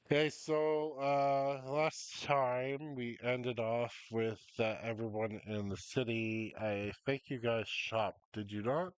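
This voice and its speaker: A man's voice: medium pitch, little pitch movement, slow, few pauses, smooth, no room sound, lo-fi sound. A man with a stuffy nose and a cough, rambling as the game master of a tabletop game, full of ums and uhs.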